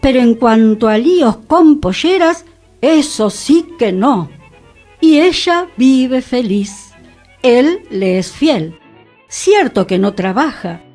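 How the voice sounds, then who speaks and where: A woman with a Buenos Aires accent giving an expressive literary reading, theatrical and wry, with dramatic pauses. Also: high-pitched, soft background music. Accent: Argentine, Buenos Aires. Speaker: reader.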